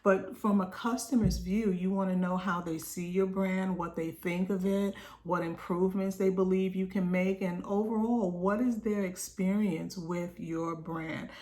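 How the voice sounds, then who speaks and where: A woman with a slightly raspy voice, coaching small business owners on a video call in a conversational way, often tagging "right?" onto her points. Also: on the low side, steady tone, unhurried, hardly any pauses, a little mellow. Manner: reads quoted passages aloud, then explains.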